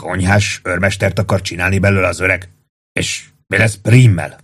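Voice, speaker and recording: A man's voice: sits low, steady tone, a bit bright, husky. A man voicing an old soldier in an audiobook, a sly barracks gossip who is easily exasperated and savors his remarks. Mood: sly, jovial. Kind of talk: dramatized audiobook dialogue.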